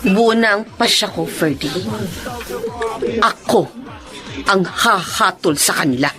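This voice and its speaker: An actress playing a defiant, vengeful young bar worker in a taunting, theatrical radio drama manner. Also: female voice, mid-range voice, expressive, takes it slowly. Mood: bitter, resolute. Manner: taunting, laughing scornfully, theatrical. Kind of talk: Filipino radio drama acting. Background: loud background music.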